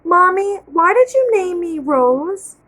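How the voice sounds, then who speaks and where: A woman reading aloud and voicing an excited little girl who begs her mother to go see roses. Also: very high-pitched, slow, voice pushed up, exaggerated voice. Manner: eager, pleading.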